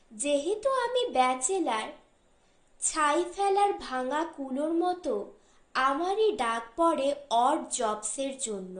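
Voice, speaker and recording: A woman reads a short story as an audiobook narrator, in a measured, deliberate way with pauses between phrases. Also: sits high, reverberant.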